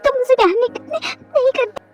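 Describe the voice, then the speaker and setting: A woman voicing a fragile young wife in a drama, pleading in a high, tearful voice. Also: normal speed, darkish tone, soft background music.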